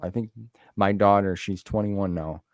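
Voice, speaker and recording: A man's voice: lowish voice, steady tone, moderate pace, no echo, studio quality. An American man in his forties hosting a casual interview in an effusive, folksy, enthusiastic way. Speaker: podcast host and interviewer.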